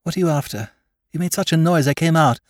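Man voicing a shrill distraught young woman. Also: fast talker, no echo, clean studio sound, close to an everyday voice. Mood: bitter, weary. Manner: shrill, near-hysterical pleading.

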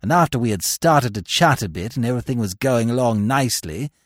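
A man voicing an excitable, aggrieved young upper-class English gentleman with an agitated, emphatic delivery. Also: mid-range voice, fairly quick, dry recording, studio quality, voice pushed down, natural voice.

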